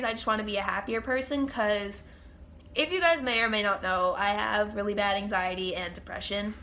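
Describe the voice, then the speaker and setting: A young woman talks straight to camera in a casual vlog Q&A. Her nose is stuffed up, so she sounds congested, but her slightly high, bright voice still gushes with fan enthusiasm. A faint hiss sits under her voice. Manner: chatty, gushing fan enthusiasm. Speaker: channel host.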